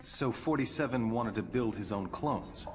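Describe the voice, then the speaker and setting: An actor playing an inquisitive, skeptical reporter in a video game cutscene, with a questioning, conversational manner. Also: male voice, medium pitch, lively intonation, normal speed, lightly acted. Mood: curious.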